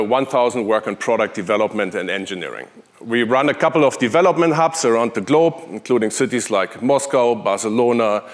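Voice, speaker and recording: A man in his forties with a German accent, giving a conference keynote on a large hall stage through a headset mic, chatty with many fillers and restarts. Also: mid-range voice. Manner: conversational, with frequent fillers and restarts. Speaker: conference speaker, tech executive.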